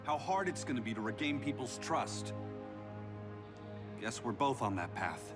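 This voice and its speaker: A man's voice: mid-range voice, sing-song, a little slow. A man playing a weary, wry superhero in a video game, speaking in a conversational way. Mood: rueful. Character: weary adult male superhero regaining people's trust. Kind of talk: video game drama acting. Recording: loud music under the voice.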